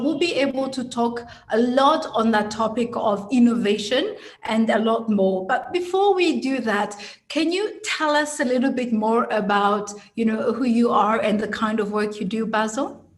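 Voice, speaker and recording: Host, a woman with a slightly high voice. Measured and formal when introducing topic and guest. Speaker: show host. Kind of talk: hosting an interview.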